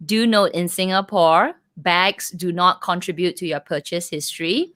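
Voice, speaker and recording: A woman's voice: lowish voice, fairly even intonation, moderate pace, fairly bright tone, dry recording, clean studio sound. A woman hosting a casual livestream chat from Malaysia, talking with many likes and restarts and laughing often. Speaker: livestream host.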